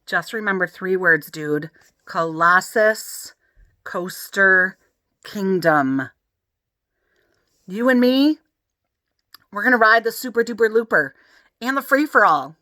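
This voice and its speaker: A woman's voice: medium pitch, expressive, takes it slowly, pauses often, sharp tone, dry recording, studio quality. A woman voicing a cool, slangy schoolboy friend in a children's story, giving emphatic, no-nonsense warnings.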